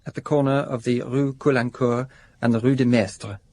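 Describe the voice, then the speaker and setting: An actor playing a fastidious, genteel architect-decorator in his thirties in a radio drama, speaking precisely and with stiff politeness. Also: mid-range voice, fairly even intonation, a little mellow, natural voice. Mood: guarded, precise. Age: 30s.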